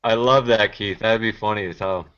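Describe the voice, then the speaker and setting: A man's voice: fairly low pitch, fairly even intonation, normal speed, muffled recording, over a noisy crowd. A man from Cape Cod who jokes around easily and laughs a lot as he co-hosts a casual sports chat. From Cape Cod, Massachusetts. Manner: easygoing, jokey, laughs often. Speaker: co-host.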